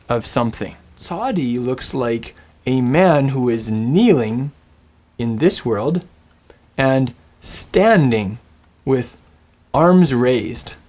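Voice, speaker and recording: A man teaching a Bible language lesson, speaking in a scripted, storytelling way with slow, deliberate phrasing and dramatic pauses. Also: mid-range voice, darkish tone. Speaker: Biblical Hebrew teacher.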